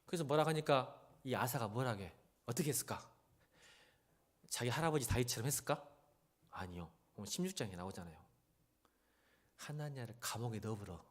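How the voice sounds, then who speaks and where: A male pastor delivers a church sermon in a very lively, widely swinging voice. He talks directly to his listeners in a conversational way, urging them on and putting rhetorical questions to them. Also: medium pitch, a little fast, long pauses. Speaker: senior pastor. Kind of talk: church sermon with Bible reading.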